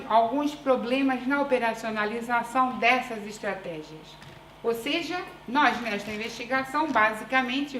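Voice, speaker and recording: A woman researcher with a Brazilian accent tinged by European Portuguese, giving a conference presentation in a deliberate, measured way with frequent hesitations. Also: fairly high pitch, a bit bright, slight background noise. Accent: Brazilian Portuguese with European Portuguese influence. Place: conference room. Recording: echoey room with faint ambience.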